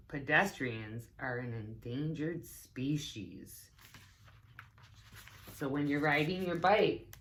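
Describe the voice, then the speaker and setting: A woman's voice: deep voice, slight background noise, low-quality recording. A woman teaching assistant reading a children's storybook aloud and explaining it in simple, instructive phrases.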